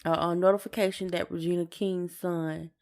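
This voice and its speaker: A woman's voice: on the low side, fairly even intonation, normal speed, darkish tone. An American woman co-hosting a podcast, chatting casually with informal, somewhat hesitant phrasing. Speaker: podcast co-host. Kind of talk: casual conversational chat.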